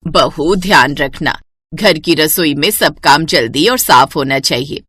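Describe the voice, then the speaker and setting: Woman as sharp-tongued mother-in-law, middle-aged, scolding, sarcastic, animated intonation, cartoon story. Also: low voice, measured pace, slightly rough, dry recording, clean studio sound, pitched below the performer's own voice, exaggerated voice. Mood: surprised, bossy.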